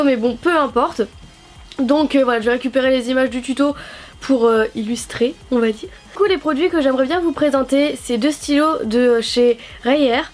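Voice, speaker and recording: High-voiced young woman with a stuffy nose. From France. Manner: chatty, many fillers and repeats. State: nasal from a cold. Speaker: craft YouTuber. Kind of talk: casual product review vlog.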